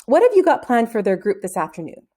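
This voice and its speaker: A woman voicing a brisk, unbothered female schoolteacher in a children's storybook, dry and matter-of-fact. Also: on the high side, huge pitch swings, dark tone. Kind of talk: children's storybook read-aloud.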